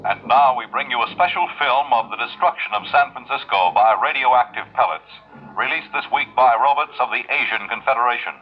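A man's voice: mid-range voice, a little fast, lo-fi sound, faint music behind, close to an everyday voice. A man playing a grandiose, solemn announcer in a 1950s radio drama, declamatory and portentous, on an old recording. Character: grandiose, solemn announcer of futuristic tales and war propaganda. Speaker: announcer and newsreel narrator.

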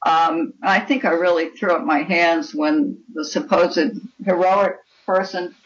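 A woman's voice: fairly low pitch, fairly even intonation, measured pace. A woman speaking as a guest author in an interview, talking about writing fiction in an opinionated, emphatic way. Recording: dull, lo-fi call audio.

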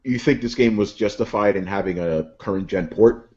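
A man's voice: lowish voice, normal speed, a little mellow. A man with a New York accent, co-hosting a casual podcast chat with wry, joking asides and the odd curse. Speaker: podcast co-host. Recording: dull lo-fi podcast audio.

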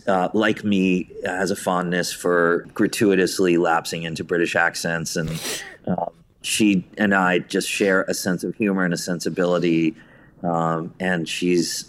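A man being interviewed, telling anecdotes in a conversational, effusive way full of praise. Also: low voice. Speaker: guest, theatre writer and director.

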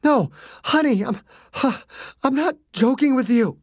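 Agonized father begging, gasping, raised pitch, narrator puts him on. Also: animated, measured pace, husky, dry recording, studio quality, full character voice. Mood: pleading. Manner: pleading, breathless, panicked. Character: terrified desperate father in agony.

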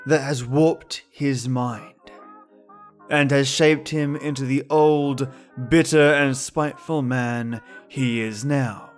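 A man hosting a podcast, presenting scripted introductions in a theatrical, playful manner. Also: fairly high pitch. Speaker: podcast host. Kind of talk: scripted introduction to old-time radio episodes.

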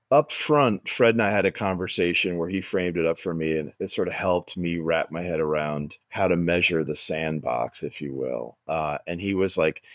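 Man, low voice, dry recording, measured explanatory interview guest. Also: no room sound. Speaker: screenwriter, guest. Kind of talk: thoughtful interview answer.

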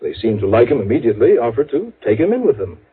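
An actor playing an anxious, proper insurance executive in a 1950s radio drama, earnest, hesitant and formal. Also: male voice, mid-range voice, sing-song, fast talker, a little hiss or hum, lightly acted. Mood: earnest explaining. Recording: tinny vintage radio, phone-like band.

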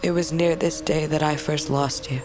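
An actress playing a grieving, fierce but lonely young princess of a wolf tribe in a fantasy audio drama, speaking in a solemn, intimate, halting way. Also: female voice, sits low, fairly even intonation, noisy background, lightly acted. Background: music.

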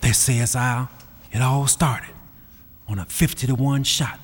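A man's voice: medium pitch, steady tone, a little slow, slight background noise. A man narrating an old-time radio drama in a folksy storytelling manner. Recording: old radio recording with music behind.

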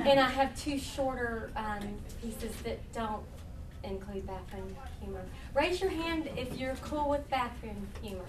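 An American woman humorist reading her writing live to an audience, with folksy, playful storytelling and chatty asides. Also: on the high side. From Huntington, West Virginia, United States. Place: indoor live reading event. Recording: echoey room, distant mic, faint noise.

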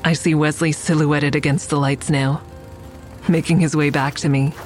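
A woman's voice: low voice, flat delivery, normal speed, natural voice. An actress playing a curious young woman who narrates her story in an intimate, wry way in an audio drama. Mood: intimate narration. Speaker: narrator and lead character. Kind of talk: audio drama first-person narration and natural dialogue. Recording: faint music behind the voice.

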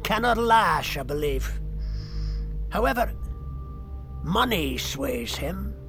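Sly, slow-talking old wizard, creaky voice. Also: male voice, high voice, very animated, music in the background, full character voice. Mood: cool warning. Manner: theatrical, savoring phrases, archly chuckling. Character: aging, worldly wizard peacekeeper, sly and condescending. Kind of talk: audio drama acting.

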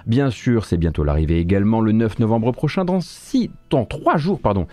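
A man's voice: on the low side, very animated, normal speed, a little mellow, no echo. A man hosting a morning video game news show, commenting casually with many fillers, self-corrections and wry asides.

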